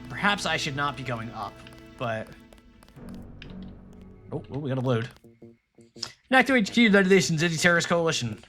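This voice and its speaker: A man's voice: on the high side, expressive, moderate pace, long pauses, pushed and bright, faint music behind. A man from Rhode Island, streaming a game and chatting to his viewers in a rambling, joking way. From Rhode Island, New England. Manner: rambling, joking, talks to chat and the screen. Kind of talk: casual let's-play commentary.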